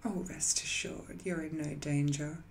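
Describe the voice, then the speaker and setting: A woman playing an ancient, banished magical villain in an audio roleplay, musing and seductive, with hesitant, intimate delivery that often softens toward a whisper. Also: low-pitched, steady tone, unhurried, a little hiss or hum. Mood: teasing, soothing. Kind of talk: scripted audio roleplay monologue, intimate and addressed to the listener.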